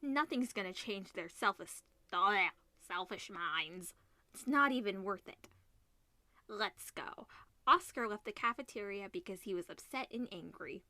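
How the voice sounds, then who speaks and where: A woman reading a visual novel aloud as a let's player, expressive, mixing narration with casual reactions. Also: medium pitch, measured pace, no echo. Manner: expressive, switches between narration and character lines.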